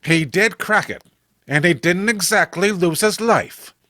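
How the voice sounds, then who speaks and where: A man's voice: sits high, measured pace, bright, forceful tone, no echo, studio quality. A man playing an authoritative veteran police commissioner, recounting a case in a horror comic reading. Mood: explanatory. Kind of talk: dramatic storytelling, horror comic voice acting.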